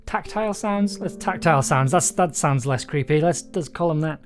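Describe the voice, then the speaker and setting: A writer livestreaming their work, thinking aloud casually in halting mutters with bursts of jokey, animated asides. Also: mid-range voice. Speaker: audio drama writer, livestream host. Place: indoors at a desk, livestreaming. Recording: clear keyboard typing and clicking noise. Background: computer keyboard typing, clicks.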